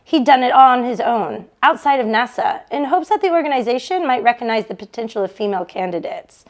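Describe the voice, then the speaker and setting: A woman with a fairly high voice reads a novel aloud as a read-along host. Her delivery is expressive, shaped for listeners following the story online. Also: a little fast. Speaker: book club read-along host for a bookstore.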